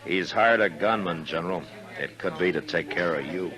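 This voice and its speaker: An actor playing a laconic American frontier marshal in a 1950s radio western, with a measured, understated but firm manner. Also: male voice, low-pitched, crowd noise, close to an everyday voice. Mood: stern warning. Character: stern, laconic frontier town marshal, grown man. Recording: old radio recording, music behind.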